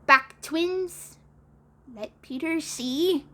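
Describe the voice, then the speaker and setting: Brief: earnest frightened young boy for a storybook, read by a woman, high, unhurried. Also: sharp tone, heavily acted. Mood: solemn.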